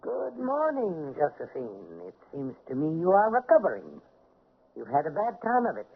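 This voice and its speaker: An actor playing a cold, vengeful count in an old radio drama, with a suave, formal, mockingly polite manner. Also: male voice, fairly high pitch, wildly swooping pitch, normal speed, vocal fry, husky, exaggerated voice. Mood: silkily menacing. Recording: muffled, tinny old radio recording.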